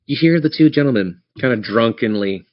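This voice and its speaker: Guy running a tabletop game, theatrical but chatty narration, middle pitch, slightly dull mic. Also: expressive, fairly quick. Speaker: game master.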